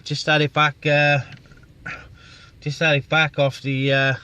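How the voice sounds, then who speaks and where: A man chatting on a CB radio net from inside his parked vehicle, pausing often on fillers. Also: fairly high pitch, completely flat delivery, bright, forceful tone, smooth. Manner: chatty, with many filler pauses. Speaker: CB radio operator. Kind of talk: casual radio chat and vlog talk.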